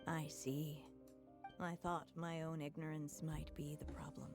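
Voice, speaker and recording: An actress playing an aging, stubborn and solemn woman writer and alchemist in a video game, speaking in measured, formal, literary phrases. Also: low voice, little pitch movement. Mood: rueful. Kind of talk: scripted video game dialogue. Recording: music behind.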